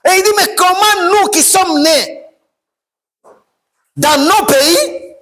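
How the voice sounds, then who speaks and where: High, bright-voiced preacher man. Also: slow. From Democratic Republic of the Congo. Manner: shouting, sing-song preaching with repeated phrases. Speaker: pastor. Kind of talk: sermon.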